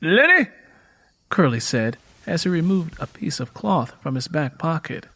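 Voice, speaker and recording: A man's voice: on the high side, animated, normal speed, gravelly, husky. A man reading scripted story narration as the narrator of an audiobook.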